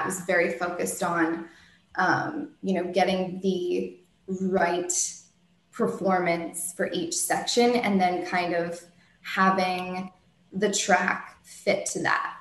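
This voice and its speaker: A woman with a soft soprano voice answers interview questions slowly and thoughtfully, with frequent ums and restarts. Her speech sits at an ordinary pitch for a woman. The room gives it a noticeable echo. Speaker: singer-songwriter guest.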